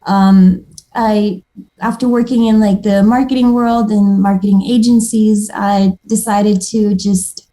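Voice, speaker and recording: A woman's voice: medium pitch, steady tone, a little slow, dark tone, poor audio. A woman from Moldova whose first languages are Moldovan and Russian, chatting as an interview guest in an upbeat, restarting way. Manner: chatty and upbeat, with frequent restarts and little laughs. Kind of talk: casual interview.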